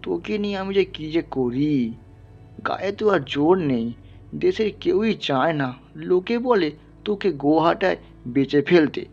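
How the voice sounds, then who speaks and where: A man voicing a poor, sorrowful peasant father in an audio story, speaking tenderly in halting, pleading murmurs. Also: fairly high pitch, animated, creaky, darkish tone, husky, highs cut off, exaggerated voice. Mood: tender grief. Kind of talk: dramatized literary audio story. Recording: band-limited, music underneath.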